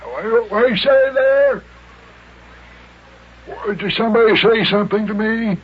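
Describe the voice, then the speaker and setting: A man's voice: high voice, a little slow, a little hiss or hum. A man playing a lovestruck, pompous, bumbling older mayor in an old children's radio serial. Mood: dithering, smitten. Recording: muffled old radio recording.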